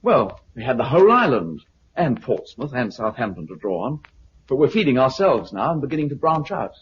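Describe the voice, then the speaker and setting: A man with a British accent playing a brisk, confident recruiter for a survivors' community in a 1950s radio drama. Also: medium pitch, sing-song, lightly acted. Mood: matter-of-fact, proud. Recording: muffled, noisy 1950s radio recording.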